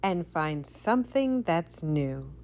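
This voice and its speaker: A woman reading a rhyming picture book aloud for children in an expressive, sing-song storytelling way. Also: low voice, slow pace, faint music behind. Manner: animated, sing-song, careful storytelling delivery.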